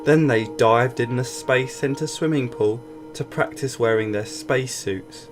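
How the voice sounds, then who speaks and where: A man reading a scripted children's story aloud in an expressive storytelling style. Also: medium pitch, a little slow. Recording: music bed behind voice. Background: background music.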